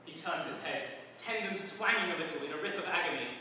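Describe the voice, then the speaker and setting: A man with a fairly high voice narrates a comic novel in a theatrical way. He is deliberate, leaning on dramatic pauses. He sounds far from the microphone in a very echoey, noisy hall. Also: pushed and bright. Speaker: reader performing for a live audience. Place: large reverberant room with an audience.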